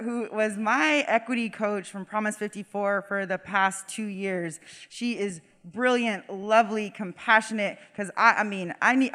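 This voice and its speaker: A woman's voice: medium pitch, a bit bright. A woman welcoming a live audience and introducing guests in an upbeat, chatty way. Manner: upbeat, chatty, laughing asides. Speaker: event co-host, emcee. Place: live event hall. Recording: echoey hall.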